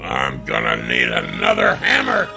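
A man's voice: very deep voice, huge pitch swings, a little slow, vocal fry, over music, pitched below the performer's own voice, exaggerated voice. A man voicing a hot-headed, hard-boiled dwarf warrior in a storybook, blunt and combative, growling and bellowing. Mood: impatient.

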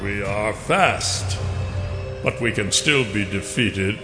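A man plays a huge horned dragon warlord from a fantasy storybook. The voice is deep and drops low at the ends of thoughts. He speaks very slowly, with mock courtesy and cold menace. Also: roomy sound, big-room sound, over music. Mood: taunting. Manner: slow, deliberate, mock-polite.

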